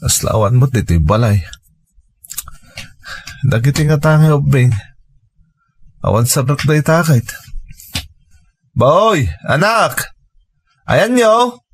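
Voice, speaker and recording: A man's voice: on the high side, very animated, slow pace, pauses often, breathy edge, full character voice. A man playing a hungover, hard-drinking father who works in construction, grumbling and exclaiming with an Ilocano accent in an audio drama. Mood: puzzled, uneasy.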